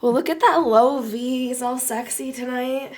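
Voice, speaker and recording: A woman stopping in for a casual chat, hoarse from losing her voice, playful and affectionate. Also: sits high, moderate pace. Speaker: visiting friend.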